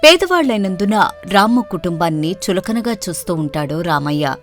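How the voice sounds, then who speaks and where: A woman's voice: on the low side, animated, moderate pace, soft background music. A woman narrating a scripted moral story as its storyteller.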